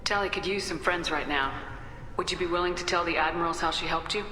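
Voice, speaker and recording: A woman's voice: fairly low pitch, fast, natural voice. A woman playing a confident, caring military commander in a video game, direct in manner. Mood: supportive. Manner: direct, probing questions. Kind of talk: video game dialogue acting. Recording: echoey hall, music behind.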